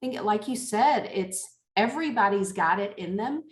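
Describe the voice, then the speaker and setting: A woman's voice: mid-range voice, animated, moderate pace. Encouraging woman in an echoey room.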